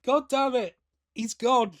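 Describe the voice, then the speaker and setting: A man's voice: very high-pitched, fairly even intonation, takes it slowly, fairly bright tone, dry recording, clean studio sound. A man co-hosting a podcast, giving his opinions in a casual fan discussion. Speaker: podcast co-host.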